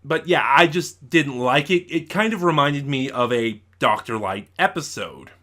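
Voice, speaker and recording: Bright-voiced man giving a casual review. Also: medium pitch, measured pace. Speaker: online reviewer, show host. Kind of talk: casual spoiler review of an audio drama.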